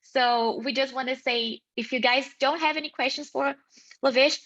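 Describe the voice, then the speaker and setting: A cheerful woman hosts an online event over a video call, chatting freely with plenty of fillers. Her voice sits a bit high and rings bright. The call audio is muffled. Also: fairly even intonation, normal speed. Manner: upbeat, chatty, many fillers. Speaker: webinar moderator. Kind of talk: casual online event hosting.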